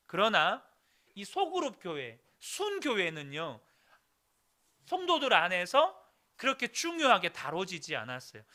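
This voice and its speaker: A man in his thirties delivers a sermon, speaking straight to the congregation in an earnest way. His voice sits high and rises and falls widely. The tone is very bright and forceful. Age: 30s. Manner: earnest, direct address to the congregation. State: recovering throat, clears throat. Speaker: pastor.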